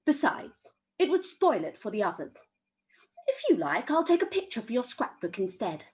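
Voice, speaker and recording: A woman voicing a kindly, patient gentleman from a children's storybook. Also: a little high, big pitch swings, moderate pace. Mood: kindly explaining.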